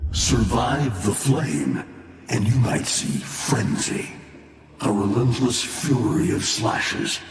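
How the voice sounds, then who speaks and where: A man's voice: lowish voice, full character voice. A male actor playing a bitter, ancient fallen warlord in a video game, mocking and grandiose, with theatrical, menacing delivery. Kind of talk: dramatic video game voice acting. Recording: cavernous echoing reverb, faint ambient noise.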